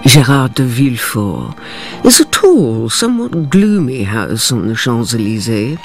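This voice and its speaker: A woman playing a grave, knowing storyteller, narrating a radio drama in a deliberate, dramatic way. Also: rumbling low, big pitch swings, soft background music, exaggerated voice. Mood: grave, atmospheric.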